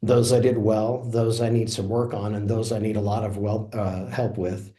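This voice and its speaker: Low, mellow-voiced man in an echoey room. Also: moderate pace. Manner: steady explanatory narration with small self-corrections. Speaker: product demo presenter. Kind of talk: screen-share software walkthrough.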